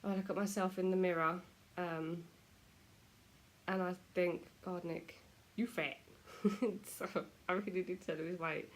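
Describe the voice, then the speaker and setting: A woman's voice: on the low side, steady tone, measured pace, slight background noise. Chatty British woman with a cold. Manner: chatty, self-deprecating. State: stuffy nose from a cold. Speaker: vlogger. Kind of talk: casual chat to camera.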